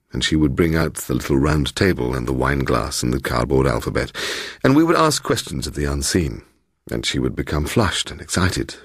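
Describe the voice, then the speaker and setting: Deep, gravelly male storyteller. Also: fairly bright tone, no echo, studio quality. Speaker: audiobook narrator. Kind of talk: dramatic first-person ghost story narration.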